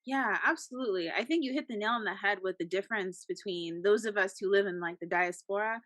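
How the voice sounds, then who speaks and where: A woman from the United States speaking as a panel guest. Her voice is bright and clear, and she talks in an articulate, measured way. The recording is dry, with no room sound. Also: medium pitch, no room sound. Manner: articulate, measured, uses fillers. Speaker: guest, psychology PhD candidate. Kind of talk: casual panel conversation.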